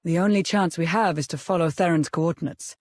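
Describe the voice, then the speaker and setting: A man's voice: on the high side, fairly quick, no echo, clean studio sound, natural voice. A man playing a dutiful, determined military adviser in a sci-fi video game, serious and businesslike. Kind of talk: dramatic video game acting.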